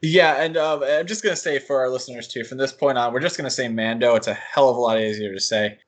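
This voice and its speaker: A man co-hosting a podcast, discussing things casually in a halting, hedging way. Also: mid-range voice. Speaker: podcast co-host.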